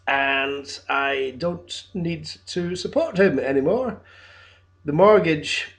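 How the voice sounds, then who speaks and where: Slow, halting man in his fifties. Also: a little high. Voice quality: loud voice. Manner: hesitant, with frequent ums and uhs. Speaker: teacher applying for a job. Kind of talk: unscripted video application monologue. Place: his own flat, indoors.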